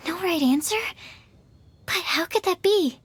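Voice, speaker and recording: A woman voicing an earnest, timid and excitable young girl in a video game. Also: very high voice, a little slow, slight background noise. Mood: startled, puzzled. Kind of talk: English video game voice acting.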